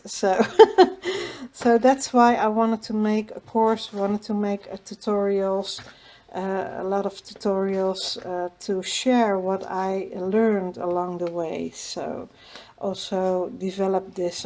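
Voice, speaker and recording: A woman with a Dutch accent, a native Dutch speaker, hosting a casual crafting tutorial in a hesitant way, with many pauses and fillers and small laughs. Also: mid-range voice, mellow. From Netherlands.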